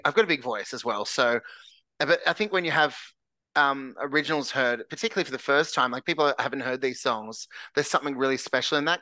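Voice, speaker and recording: A man's voice: mid-range voice, bright, forceful tone, dry recording. An Australian man, a singer-songwriter, chatting earnestly and candidly as the guest in an interview. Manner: earnest, candid, self-described larrikin. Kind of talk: casual interview chat.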